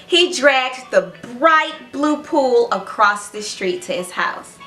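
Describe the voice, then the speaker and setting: A woman hosting a children's read-aloud, narrating in an animated, expressive storybook style and speaking directly to young listeners. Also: sits high, slow pace, roomy sound, faint music behind, poor audio.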